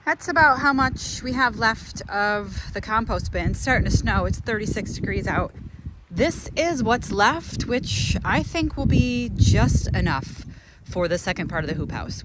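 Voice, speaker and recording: A woman's voice: mid-range voice, animated, normal speed, very noisy. A woman in her thirties talking casually to a handheld phone while working outdoors on her flower farm, thinking aloud and correcting herself. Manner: chatty, thinking aloud, self-correcting asides. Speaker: flower farmer vlogger. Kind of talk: casual vlog narration. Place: outdoors on a farm in cold weather, partly in a car. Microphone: handheld phone camera.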